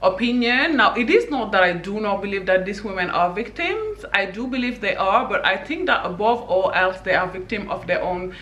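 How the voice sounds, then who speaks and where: Bright-voiced opinionated woman from Senegal. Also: mid-range voice, lively intonation, moderate pace, few pauses, reverberant, highs cut off. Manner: opinionated, emphatic, conversational with frequent "you know". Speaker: vlogger. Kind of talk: opinion commentary vlog.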